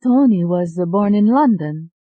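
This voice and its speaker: A woman acting a gentle, polite young Italian woman with a soft voice and an Italian accent, courteous and a little hesitant, in a dramatized story. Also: medium pitch, lively intonation, unhurried, no echo, phone-quality sound. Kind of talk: dramatized audiobook dialogue.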